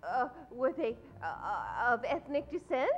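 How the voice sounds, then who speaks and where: A woman playing an inquisitive, penny-pinching sleuth in old-time radio comedy, halting and polite. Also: a little high, sing-song, muffled recording. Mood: curious, halting. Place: indoor theatre stage. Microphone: stand microphone.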